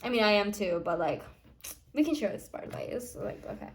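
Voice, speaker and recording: A woman's voice: mid-range voice, animated, a little fast, slight background noise. A nineteen-year-old woman vlogging in a casual, chatty way, full of fillers and restarts. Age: young adult. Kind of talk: casual planning vlog.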